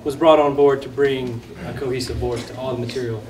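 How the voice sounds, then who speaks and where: A film director, a man, answering audience questions after a screening. He sounds a bit hoarse and talks conversationally, often restarting his sentences. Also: mid-range voice, fairly even intonation, a little fast, a crowd in the background. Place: large screening hall. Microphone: lost microphone, speaking unamplified. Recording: echoey hall, voice from far off.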